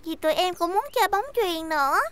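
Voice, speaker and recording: A performer voicing an eager, impatient little child-like vehicle in an excitable cartoon dub manner. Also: extremely high pitch, fairly quick, over a noisy crowd. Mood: disappointed, pleading. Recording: music under the dialogue. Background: children's music with jingles.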